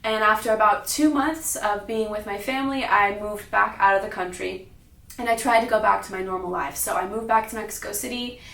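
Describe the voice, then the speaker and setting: A woman's voice: mid-range voice, brisk, creaky, a bit bright. A young adult American woman telling her personal story as a public talk, recorded in a roomy space at some distance. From Sacramento, California, United States. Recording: roomy, echoing, distant mic.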